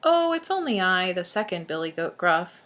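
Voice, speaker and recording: A woman's voice: lowish voice, very animated, normal speed, fairly bright tone. A woman voicing a meek middle-sized male billy goat in a children's storybook, pleading and reasoning. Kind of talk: children's storybook read aloud.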